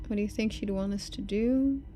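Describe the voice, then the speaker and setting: A woman plays a young female video maker in a story game, gossipy and earnest. She talks in a casual, expressive way at a middle pitch, with faint music behind her. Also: a little fast, lightly acted. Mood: worried.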